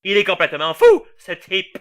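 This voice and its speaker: A man's voice: high voice, huge pitch swings, moderate pace, fairly bright tone, exaggerated voice. A man playing a gleeful, vain villain knight in a comic anime parody dub, pompous and mock-dramatic. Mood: indignant. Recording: faint music behind the voice.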